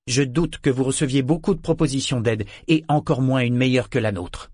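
A man's voice: medium pitch, a little fast, a little mellow, dry recording, clean studio sound, voice pushed up, natural voice. A man voicing a proud, blunt, bitter sailor in an audiobook. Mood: curt, guarded. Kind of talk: audiobook character dialogue.

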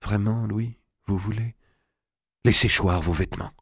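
A man reading an audiobook, voicing a pious, gentle young queen who speaks softly and with quiet firmness. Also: lowish voice, fairly even intonation, measured pace, creaky, slightly rough, dry recording, clean studio sound, heavily acted. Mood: resigned. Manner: soft, hushed, restrained.

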